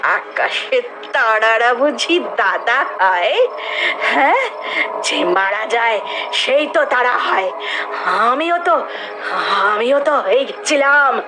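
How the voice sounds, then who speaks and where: Vengeful dead mother's spirit, high, wildly swooping voice, obsessive repetition, wailing, horror audio drama, music underneath. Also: big pitch swings, takes it slowly, low-quality recording. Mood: eerie, taunting. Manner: muttering, repeats words obsessively, wailing. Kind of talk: horror audio story acting.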